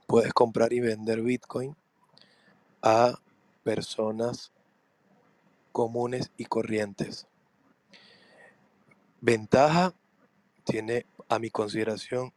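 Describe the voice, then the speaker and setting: A hoarse man, speaking very slowly and hesitantly as he explains. His voice sits low, and the recording is dry and close. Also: darkish tone, no room sound, rough recording. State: hoarse from laryngitis. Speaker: podcast guest. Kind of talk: explaining on a podcast.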